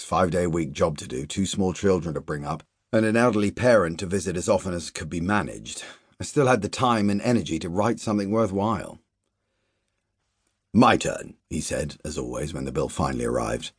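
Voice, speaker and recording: A man reading a literary novel aloud as a first-person audiobook narrator, measured and even, dropping to quiet asides in the dialogue. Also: low voice, husky, no room sound, studio quality.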